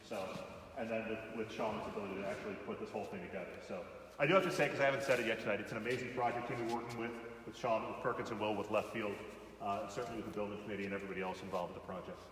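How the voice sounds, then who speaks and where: Loud man in an echoey hall. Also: lowish voice, very fast, far from the mic, a little hiss or hum. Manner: loud, talks with many fillers and restarts. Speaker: school superintendent giving a site tour. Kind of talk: casual spoken walking tour. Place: large echoey auditorium under construction.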